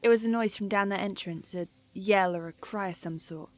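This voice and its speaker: A woman's voice: medium pitch, normal speed, no room sound, lightly acted. Woman as businesslike half-elf scout. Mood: wary. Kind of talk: fantasy audio drama acting.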